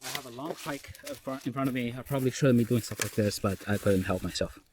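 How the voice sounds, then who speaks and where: A man's voice: mid-range voice, fast talker, a little mellow, a little hiss or hum. A man recording himself while hiking alone outdoors, sounding nasally and a bit tired, talking in a rambling, self-mocking way. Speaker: solo hiker vlogging. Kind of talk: casual self-recorded trail diary. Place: outdoors on a forest trail in rain. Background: stream and forest ambience.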